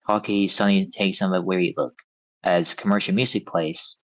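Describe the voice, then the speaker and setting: A man's voice: low voice, steady tone, moderate pace, no echo. A man reading script directions aloud at a table read, on a slightly dull recording. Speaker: stage-direction reader.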